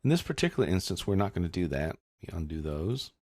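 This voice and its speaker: Low-voiced man narrating a tutorial. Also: very animated, quick pace, a little mellow, no room sound, clean studio sound. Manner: explanatory, informal step-by-step. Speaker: software instructor. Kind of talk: instructional screencast narration.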